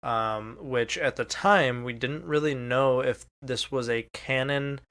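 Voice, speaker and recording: A man's voice: mid-range voice, takes it slowly, a bit bright. An American man co-hosting a podcast, chatting and telling stories casually with some swearing. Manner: casual, profane. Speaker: podcast co-host. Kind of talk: casual podcast chat, storytelling.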